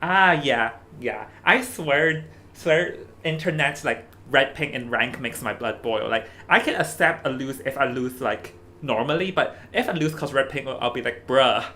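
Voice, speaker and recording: A young man from Hong Kong with a Hong Kong accent, chatting casually as an esports commentator, animated and stumbling over restarts. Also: medium pitch, measured pace, hardly any pauses. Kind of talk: casual stream commentary chat. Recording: faint background noise.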